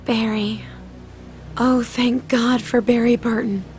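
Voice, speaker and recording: Young woman survivor , played by an actress, audio drama, urgent, raised higher voice, drawn-out delivery. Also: steady tone, a little mellow, soft background music. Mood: relieved.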